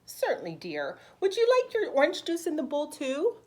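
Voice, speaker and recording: A woman's voice: high-pitched, wildly swooping pitch, normal speed, pitched above the performer's own voice. A woman voicing a kindly, obliging mother in a children's storybook, indulgent and polite. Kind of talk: children's storybook read-aloud.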